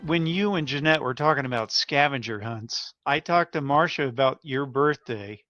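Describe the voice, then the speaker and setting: A man's voice: mid-range voice, moderate pace, pushed and bright, dry recording, muffled recording, soft background music. A man reminiscing casually in conversation.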